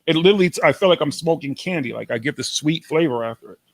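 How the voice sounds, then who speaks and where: A man's voice: fairly high pitch, expressive, fast talker, dry recording. A man, hosting a livestream chat and swearing freely as he talks. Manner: swears freely, loose rambling talk while smoking. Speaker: livestream host. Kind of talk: casual chat.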